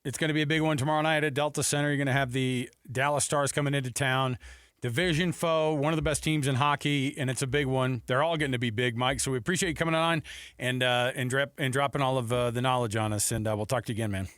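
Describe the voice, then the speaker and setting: Fast-talking man hosting sports radio. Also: medium pitch, fairly even intonation, fairly bright tone, clear, resonant tone, dry recording, studio quality. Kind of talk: casual sports talk. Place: radio studio.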